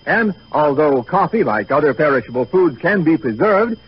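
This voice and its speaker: A man announcing a scripted 1930s radio advertisement with emphatic, deliberate phrasing. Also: on the high side, big pitch swings, a little hiss or hum. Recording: muffled 1938 radio broadcast.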